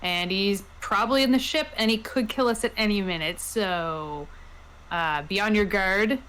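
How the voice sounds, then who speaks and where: A woman talks casually as a player in a tabletop roleplaying game. Her voice sits at a middle pitch and she moves in and out of character. A faint noise runs behind her. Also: normal speed, a bit bright, poor audio.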